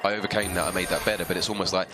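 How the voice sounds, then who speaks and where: A man with a Yorkshire accent giving quick, analytical and good-humoured sports co-commentary. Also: deep voice, steady tone. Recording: commentary over loud outdoor track noise.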